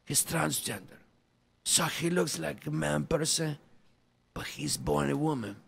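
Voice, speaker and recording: A comedian delivering a rambling conspiracy rant in broken English, lurching between shouted outbursts and low mutters. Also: measured pace, gravelly, breathy edge. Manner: ranting, broken English, wild swings from loud to muttered.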